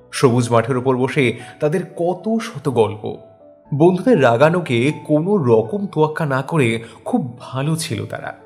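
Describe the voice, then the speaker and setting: A man narrating a scripted love story in a dramatic, wistful, expressive manner. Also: medium pitch. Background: soft instrumental music.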